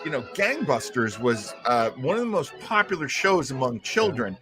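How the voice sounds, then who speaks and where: Male radio-show co-host: chatty, enthusiastic explainer, mid-range and lively in pitch, soft music behind. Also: moderate pace. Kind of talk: casual chat about old-time radio.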